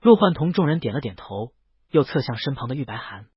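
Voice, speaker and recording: A man's voice: mid-range voice, big pitch swings, fairly quick, husky, no echo, muffled recording. A man reading a story aloud as an audiobook narrator, in a dramatic, scripted storytelling style.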